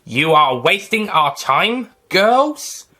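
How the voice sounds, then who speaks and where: A man voicing an eccentric, genial time-traveling alien in an audio story, chirpy and lively. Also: high-pitched, slow pace, voice pushed up, exaggerated voice.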